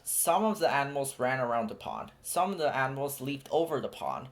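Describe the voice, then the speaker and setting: A man narrating a children's picture book aloud in a steady, clear storytelling manner. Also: medium pitch, brisk. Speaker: storybook reader.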